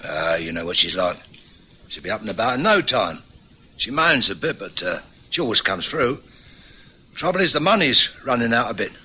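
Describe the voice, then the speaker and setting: An actor playing a breezy, prying working-class London husband with a London accent, chummy and wheedling, in an old radio drama. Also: male voice, lowish voice, very animated, normal speed, bright, forceful tone, husky, exaggerated voice. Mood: offhand.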